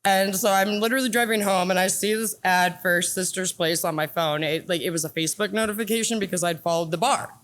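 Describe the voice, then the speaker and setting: A woman's voice: lowish voice, quick pace, few pauses, a bit bright. A woman from Washington State, a bartender chatting casually on a podcast and laughing as she talks. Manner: chatty, laughs while talking. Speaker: bartender guest. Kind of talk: casual chat.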